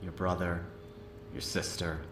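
A man playing a gentle, wistful old stallion ghost in an audio drama, soft, courteous and crooning. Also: deep voice, flat delivery, measured pace, a loud crowd. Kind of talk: audio drama acting with song. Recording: roomy playback with music underneath.

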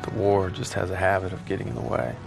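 An actor playing a reflective young American soldier, giving plain-spoken voice-over narration in a war game. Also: male voice, low-pitched, fairly even intonation, fast, creaky. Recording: loud music behind voice.

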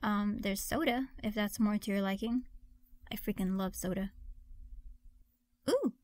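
A woman's voice: mid-range voice, a little slow, darkish tone, lightly acted. A woman playing a friendly, awkward young witch in a conversational audio roleplay, hesitant and apologetic. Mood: friendly, chatty.

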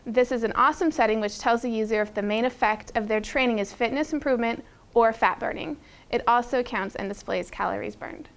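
A woman's voice: a little high, brisk. A young woman presenting running shoes and gear for a retailer, reading a script in an upbeat way with small slips, on a lapel mic in a studio. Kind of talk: scripted product rundown. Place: studio with a plain grey backdrop.

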